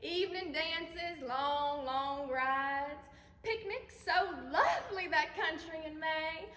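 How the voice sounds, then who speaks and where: A woman's voice: high-pitched, bright, forceful tone, full character voice. A woman performing a nostalgic, girlish Southern belle mother in an effusive, theatrical stage monologue. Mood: giddy rapture. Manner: effusive, dramatic, drawn-out words. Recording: echoey hall, long reverb.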